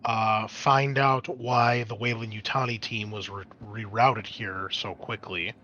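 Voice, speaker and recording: A man's voice: fairly low pitch, steady tone, measured pace, bright, forceful tone, dull audio. A man narrating a tabletop roleplaying game as game master, in an explanatory, conversational way.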